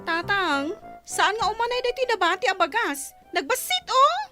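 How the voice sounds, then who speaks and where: A woman's voice: very high voice, sing-song, normal speed, music in the background. A woman with an Ilocano accent playing a nagging, worried keeper of a poor household in a radio drama. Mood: worried, complaining.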